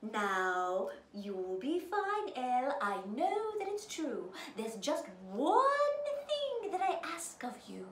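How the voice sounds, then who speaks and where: Woman acting out an excitable old fairy godmother, swooping pitch. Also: echoey room, distant mic, slight background noise, exaggerated voice. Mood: gently warning. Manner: exclamatory, theatrical, with drawn-out words.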